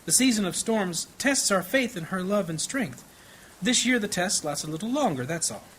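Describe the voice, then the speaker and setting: A man acting a gentle, troubled priest and family man in a fantasy audio drama, earnest, measured and devout. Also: high voice, animated, a little hiss or hum, rough recording. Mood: gentle teaching. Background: music.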